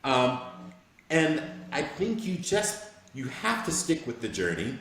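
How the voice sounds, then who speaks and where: A man's voice: medium pitch, huge pitch swings, slow pace, fairly bright tone. A man who is a voice actor, speaking earnestly and reflectively as a guest in a casual interview, often checking that he is understood. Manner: reflective, earnest, often asks "you know what I'm saying". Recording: very echoey room with faint background noise.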